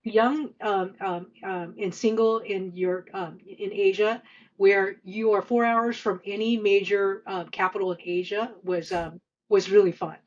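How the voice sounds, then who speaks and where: A woman in her fifties answering interview questions conversationally, with halting, hesitant speech full of stammered restarts. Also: medium pitch, steady tone, muffled recording. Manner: halting, hesitant delivery with frequent stammered repeats and long filler runs. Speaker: podcast guest, senior finance executive.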